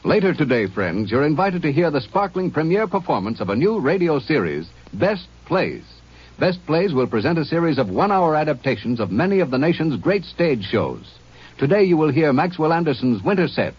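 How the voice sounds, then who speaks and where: Male announcer, husky, sing-song promo delivery, 1950s radio, dull band. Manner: bright, booming promo delivery. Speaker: network announcer.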